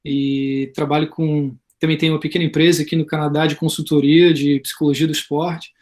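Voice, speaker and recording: Relaxed man in his thirties introducing himself. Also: a little high, brisk. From Brazil, Florianópolis. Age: 30s. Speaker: guest teacher and sports psychology consultant. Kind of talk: casual self-introduction.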